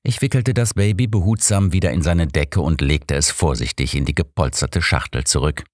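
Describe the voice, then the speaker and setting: A man's voice: a bass voice, moderate pace, no room sound, clean studio sound. A man reading scripted first-person story narration as an audiobook narrator.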